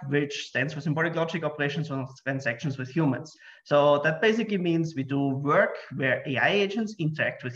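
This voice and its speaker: A man's voice: on the high side, a bit bright. A man in his thirties, originally from Austria, giving a friendly, self-deprecating conference talk on a video call, with frequent fillers. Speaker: computer science researcher giving a talk. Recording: muffled video call audio.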